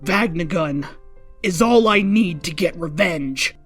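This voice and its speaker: A woman's voice: on the low side, expressive, takes it slowly, slightly rough, full character voice. A woman voicing a vengeful male villain who has turned hesitant and remorseful, in a fan fiction reading. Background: faint music.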